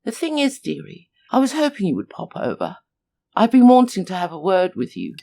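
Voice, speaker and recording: A woman's voice: mid-range voice, wildly swooping pitch, moderate pace, creaky, dark tone. A woman voicing an elderly, gossipy widow in a storybook-style horror tale, meandering and fond in her way of talking. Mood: conspiratorial. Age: older. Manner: meandering, hesitant, addresses listener fondly. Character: elderly gossipy widow neighbour, conspiratorial, doting. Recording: music under the voice.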